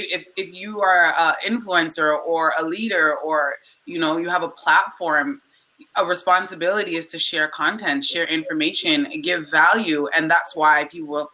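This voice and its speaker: A woman with a low, extremely bright and forceful voice speaks as a panelist. She gives advice conversationally, stressing key words by repeating them. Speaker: panelist, influencer.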